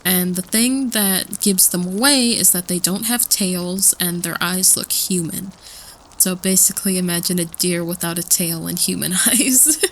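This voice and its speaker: A woman's voice: fairly low pitch, measured pace, a bit bright, slight background noise. A woman playing a nervous student who rambles through spooky folklore in a hesitant, casual way, repeating herself, in an audio roleplay. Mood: nervous excitement. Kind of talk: casual campfire storytelling roleplay.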